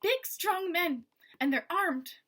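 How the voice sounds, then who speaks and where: A woman voicing a strong, stern older woman who speaks in hushed, urgent whispers. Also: very high voice, expressive, slow pace, pitched above the performer's own voice, full character voice.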